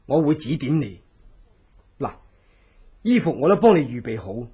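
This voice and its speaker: A calculating schemer speaks Hong Kong Cantonese in a very raspy, fairly high male voice. His delivery is animated, deliberate and persuasive, in radio drama style. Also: narrow, tinny audio, heavily acted. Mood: scheming, persuasive. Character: calculating male plotter coaching a woman avenger.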